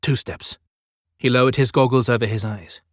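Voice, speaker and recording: A male audiobook narrator with a mid-pitched voice, recorded dry and close. He reads scripted fiction dramatically, leaning on key words to build suspense. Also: steady tone, normal speed, dry recording.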